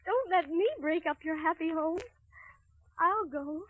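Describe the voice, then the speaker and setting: A woman playing a sweet, gentle young princess in a 1930s radio fairy-tale drama. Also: very high voice, measured pace, phone-quality sound. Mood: wistful.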